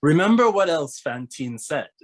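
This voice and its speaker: Man acting as earnest, higher-voiced male detective. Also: very animated, moderate pace. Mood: explaining, dramatic. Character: earnest young male amateur detective explaining the crime. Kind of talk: animated comedy script table read.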